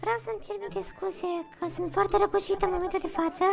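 A man using a voice changer to play a sweet, pleading young woman who keeps apologising in an artificially high voice. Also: steady tone, creaky, exaggerated voice. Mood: polite, apologetic. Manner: apologetic, coaxing. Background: background music.

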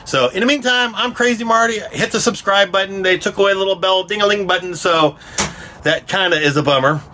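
Bright-voiced middle-aged American man, casual. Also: high voice, fairly quick. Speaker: DIY vlogger. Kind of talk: casual explanatory how-to talk. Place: inside a small aluminum cargo or horse trailer. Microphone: phone at arm's length. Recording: noisy small metal trailer interior.

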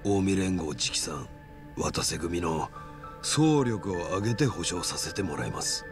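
A man's voice: low-pitched, animated, measured pace, over music. A man playing a calculating, menacing yakuza in a video game, with a Kansai accent and polite speech that carries blunt threats. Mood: coolly persuasive. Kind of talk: dramatic video game cutscene acting.

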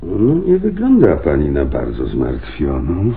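Wry police lieutenant, swooping intonation. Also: male voice, very deep voice, wildly swooping pitch, measured pace, heavily acted. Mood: grave, measured. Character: wry, probing male police homicide lieutenant. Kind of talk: radio crime drama acting. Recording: muffled, band-limited radio recording.

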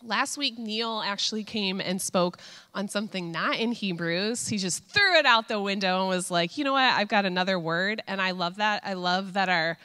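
A woman's voice: mid-range voice, moderate pace, pushed and bright, clean tone. A woman in her thirties introducing the next speaker on a church stage with a handheld mic, chatty and informal. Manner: chatty, informal, lots of "like" and fillers. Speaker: worship leader introducing the speaker. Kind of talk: casual church announcement. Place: church stage, large hall. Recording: long reverb of a large hall.

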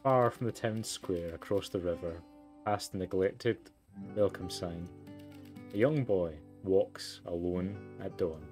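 A man reading as a formal, measured storybook narrator in a story game. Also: low voice, darkish tone, soft background music. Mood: measured, solemn. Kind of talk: scripted narration.

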